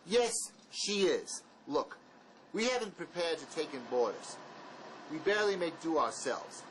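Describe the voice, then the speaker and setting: A hard-nosed man on the run , played by an actor, speaks in a slightly high voice. He is blunt, bossy and full of sarcasm, in the style of a tense drama scene. A faint ambient rumble sits under the recording. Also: sing-song, measured pace, fairly bright tone, poor audio. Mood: dry sarcasm. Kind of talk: dramatic dialogue acting.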